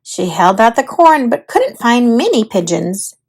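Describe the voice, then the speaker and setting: A woman narrating a children's storybook aloud in an expressive, deliberate storytelling manner. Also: on the high side, husky.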